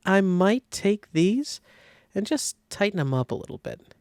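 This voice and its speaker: A man giving a casual step-by-step software tutorial, thinking aloud informally as he demonstrates. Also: sits high, big pitch swings, unhurried, no echo, studio quality. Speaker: design tutorial instructor.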